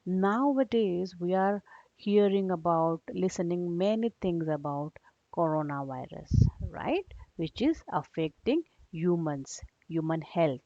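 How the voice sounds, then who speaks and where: A woman teacher takes a young class through a read-aloud lesson at a slow, measured pace. She restates terms simply and checks understanding with short questions. The recording is dull and low in fidelity. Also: warm tone, no echo.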